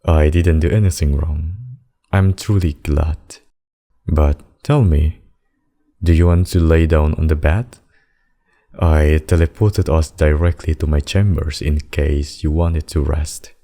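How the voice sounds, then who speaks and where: A man voicing a possessive demon king and lover in a scripted ASMR roleplay, speaking deliberately and intimately. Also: very deep voice, fairly even intonation, mellow, full character voice. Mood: tender, concerned. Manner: slow, deliberate, intimate addresses with pet names. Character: possessive demon king, menacing abductor turned tender secret lover.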